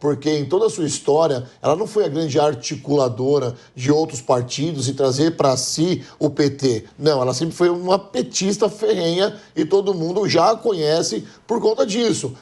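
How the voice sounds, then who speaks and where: A Brazilian man with a fairly high voice comments on politics in a live panel. He talks informally and conversationally, readily correcting himself. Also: moderate pace, hardly any pauses, darkish tone.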